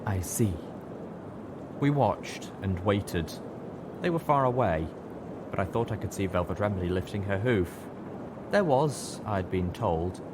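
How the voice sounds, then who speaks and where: A man narrates a first-person story in a low-pitched voice. The recording carries clear background noise under his scripted reading. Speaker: audiobook reader.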